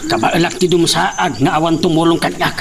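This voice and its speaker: Man acting a creaky, grumbling Ilocano underling. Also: a little high, steady tone, a little fast. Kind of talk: Ilocano radio-style drama acting. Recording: clearly noisy background, clicks.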